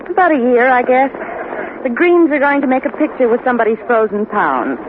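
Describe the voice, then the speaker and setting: A coy femme fatale on tinny radio. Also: female voice, sits high, animated, a little fast. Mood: flirtatious. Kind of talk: 1950s radio drama acting. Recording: noisy, tinny old 1950 radio recording.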